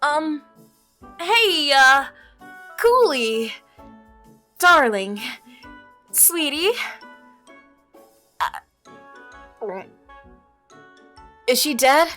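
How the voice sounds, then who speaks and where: Animated woman gamer reacting. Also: high voice, extremely slow, pauses often, faint music behind. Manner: expressive, exclaims and laughs at the story. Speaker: let's play gamer. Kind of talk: reading and reacting to a visual novel.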